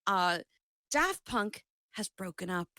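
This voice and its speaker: A woman with a bright, forward voice co-hosts a casual podcast. She is animated and playful, bantering and swearing freely. The sound is close and dry. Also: mid-range voice, slow, no room sound. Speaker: podcast co-host.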